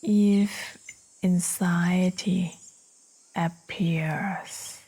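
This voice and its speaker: A woman narrating a guided meditation in a soft, soothing, breathy manner, leaving long pauses between short phrases. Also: fairly low pitch, fairly even intonation. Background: birdsong.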